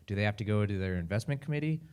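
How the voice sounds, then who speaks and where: Low-voiced man giving direct advice. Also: lively intonation, racing, a little mellow, smooth. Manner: direct, coaching tone. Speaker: panelist. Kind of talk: panel advice.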